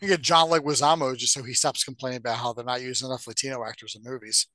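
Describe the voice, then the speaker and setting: A man's voice: medium pitch, steady tone, rapid-fire, bright, forceful tone, no room sound. A man co-hosting a podcast in casual, profane banter, giving blunt opinions. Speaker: podcast co-host.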